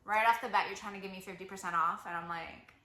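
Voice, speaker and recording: A woman's voice: mid-range voice, fairly even intonation, quick pace, pushed and bright. A young woman giving casual travel advice to camera, chatty and full of fillers. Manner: chatty, lots of "like" and "you know". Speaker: travel vlogger. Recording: echoey room.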